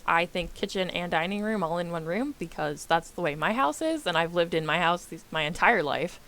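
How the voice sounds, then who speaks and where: A woman's voice: lowish voice, fairly bright tone. A young American woman, a little congested, giving a rambling, self-deprecating voice-over on her game build and laughing often. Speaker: gaming YouTuber.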